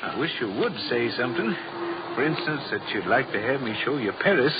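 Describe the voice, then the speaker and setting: A man's voice: medium pitch, big pitch swings, brisk, natural voice. Suave, sly male seducer, acted out, muffled sound. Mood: persuasive, amused. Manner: suave, insinuating, chuckling. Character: smooth-talking, sly male seducer abroad in Paris. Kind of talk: old-time radio drama acting. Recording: muffled, noisy old radio recording.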